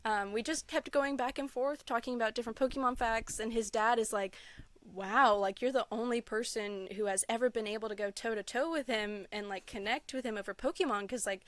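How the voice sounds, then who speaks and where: A woman speaks as a guest in a casual interview, with a smooth, clear voice. She tells her stories with earnest enthusiasm, and the sound is close and dry. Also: on the high side, brisk, no echo. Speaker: podcast guest, Pokémon content creator; store employee. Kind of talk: casual interview chat, anecdotes.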